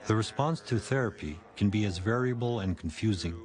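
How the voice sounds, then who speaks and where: A man in his fifties with a stammer, reading calm, reflective narration for a documentary about his own speech. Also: lowish voice, fairly quick, crowd noise.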